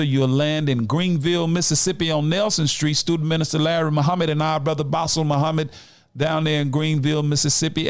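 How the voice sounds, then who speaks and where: A man's voice: a little high, no room sound. A man of nearly sixty, hosting a community podcast and addressing listeners in an exhortatory way. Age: late 50s, nearly 60. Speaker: podcast host. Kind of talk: community podcast talk with announcements and shout-outs.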